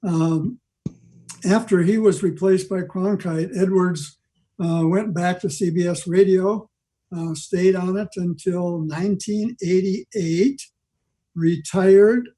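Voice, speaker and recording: An older man giving an informal history talk on a video call, rambling along with halting digressions. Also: high voice, steady tone, warm tone, poor audio. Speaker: club member giving a presentation. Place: video meeting from home.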